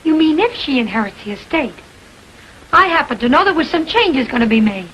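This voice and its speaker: High-pitched, brassy, hot-tempered 1940s dame. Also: female voice, big pitch swings, fairly quick, fairly bright tone. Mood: defiant. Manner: brassy, slangy, combative. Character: sharp-tongued, hot-tempered woman from Brazil. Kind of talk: 1940s American TV crime drama acting. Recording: noisy, dull 1940s film soundtrack.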